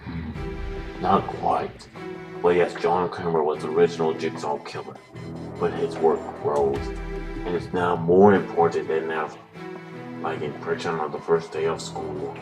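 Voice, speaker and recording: A cold puppet mastermind with an extremely deep male voice, speaking slowly and deliberately. He sounds distant, ominous and formal, moralizing like a horror audio drama villain over music. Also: level tone. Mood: grave, challenging. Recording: distant voice under loud music.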